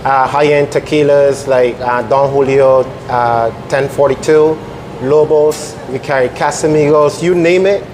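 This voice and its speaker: A middle-aged man from Barbados, a restaurant chef explaining things step by step in an interview, with measured, pausing delivery. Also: medium pitch, fairly even intonation, noisy background.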